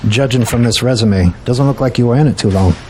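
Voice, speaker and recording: An actor playing a folksy, blunt auto shop owner with a dry, casual manner in an audio drama. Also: male voice, mid-range voice, fairly quick, darkish tone, slight background noise, close to an everyday voice. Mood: wry, skeptical.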